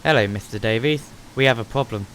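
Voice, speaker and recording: A man's voice: medium pitch, a little fast, a bit bright, close to an everyday voice, poor audio. A man voicing a plainspoken railway track worker in an audio drama, reporting in a matter-of-fact way.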